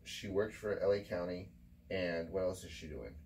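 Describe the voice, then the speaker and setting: A man's voice: low voice, normal speed, darkish tone, slight background noise. A man in his forties hosting a casual podcast chat, blunt and profane, lecturing his co-host with insistent repetition. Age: 40s.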